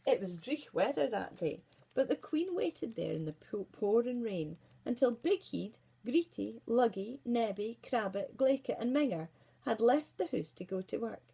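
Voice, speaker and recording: A woman with a Scottish accent reading a children's fairy tale in Scots as an expressive storyteller. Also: a little high, unhurried, vocal fry, slightly rough.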